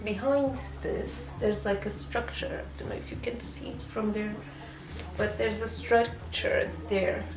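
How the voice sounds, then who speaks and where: A woman from Portugal speaking English with a Portuguese accent, her voice gravelly with fry. She talks in a casual, halting way, full of fillers and restarts. The echoey room at home adds a roomy sound. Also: medium pitch. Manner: hesitant, many fillers and restarts. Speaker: booktuber. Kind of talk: casual vlog chat.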